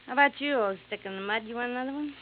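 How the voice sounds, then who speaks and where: A tinny old radio recording carries a woman playing a young wife with a drink in her hand. Her highish voice is bitter, brazen and sarcastic, in vintage drama style. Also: very fast, a little hiss or hum, close to an everyday voice. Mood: sardonic. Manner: sardonic, brazen. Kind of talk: 1950s American radio drama acting.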